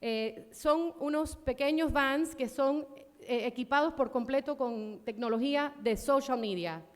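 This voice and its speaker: A woman's voice: sits high, measured pace. A woman executive giving a conference presentation, speaking passionately and emphatically, with frequent fillers and restarts. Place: conference hall. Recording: long hall reverb.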